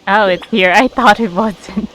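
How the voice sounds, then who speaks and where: A woman's voice: medium pitch, measured pace. A woman giving casual video game playthrough commentary in short, offhand reactions.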